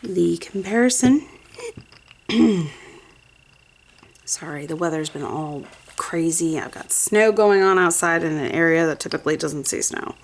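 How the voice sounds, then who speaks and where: A woman's voice: on the low side, animated, a little slow, creaky, long pauses. A woman in her thirties, congested, chatting casually as she swatches and compares nail polish, often dropping to a whisper while she works. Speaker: nail art vlogger.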